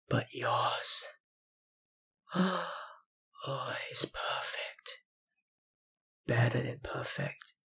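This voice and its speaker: A man playing an obsessive, dominant, hungry vampire researcher in an intimate ASMR roleplay, with a breathy, gravelly voice and a softly menacing manner. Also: medium pitch, poor audio. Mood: enraptured. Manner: slow, intimate, softly menacing, lapsing into whispers.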